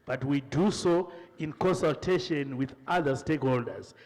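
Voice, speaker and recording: Male ruling-party panelist, measured and defensive, reverb. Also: on the high side, darkish tone. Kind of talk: political debate.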